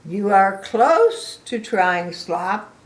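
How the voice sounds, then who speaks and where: A woman voicing an excitable, pushy young pig friend in a children's picture book, with drawn-out, emphatic exclamations. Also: mid-range voice. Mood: urging. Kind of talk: animated children's picture-book read-aloud.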